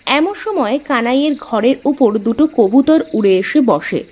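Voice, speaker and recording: A woman's voice: fairly high pitch, expressive, measured pace, a little mellow, breathy edge, lo-fi sound. A woman narrating a scripted cartoon folk tale as a storyteller.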